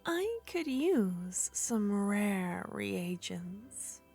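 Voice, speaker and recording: A woman performing an ASMR roleplay as a jealous, possessive witch, speaking softly and often whispering. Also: mid-range voice, big pitch swings, drawn-out words, gravelly, soft background music. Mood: teasing. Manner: soft-spoken, teasing, often whispering. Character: jealous possessive young witch, teasing and haughty.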